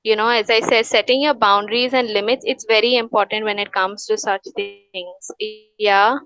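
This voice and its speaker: A woman from India, a wellness counsellor, calmly giving advice in a webinar, with many fillers and restarts. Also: mid-range voice, moderate pace, highs cut off, faint music behind. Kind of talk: webinar Q&A answers.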